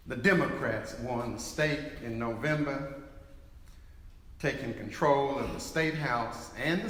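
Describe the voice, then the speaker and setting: A man playing a dignified 1870s state senator, giving his account in a grave, measured dramatic reading. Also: mid-range voice, a bit bright. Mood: resigned. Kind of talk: dramatic reading of historical testimony. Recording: echoey hall with long reverb.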